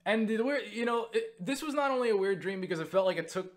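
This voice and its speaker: A young man casually recounting a story for viewers, excitable and full of swearing and filler words. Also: sits high, fast talker. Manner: excitable, swears freely, lots of fillers and laughs. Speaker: YouTuber answering viewer questions.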